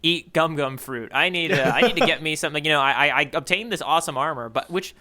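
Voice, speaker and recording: Bright, clear-voiced man, wry podcast chatter. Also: a little high, fast talker. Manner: wry, joking, thinks aloud. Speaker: podcast co-host. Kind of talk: casual chat discussing a manga.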